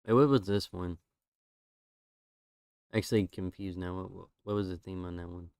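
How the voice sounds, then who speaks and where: A man's voice: low-pitched, normal speed, clean tone, dry recording, studio quality. A man streaming, chatting casually and rambling through his drawings while losing his voice. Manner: relaxed, rambling with fillers.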